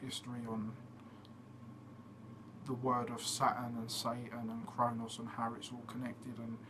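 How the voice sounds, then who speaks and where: A man hosting his own channel, giving a casual explanatory monologue in a steady way, with frequent fillers. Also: medium pitch, unhurried. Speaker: channel host. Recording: noticeable background noise.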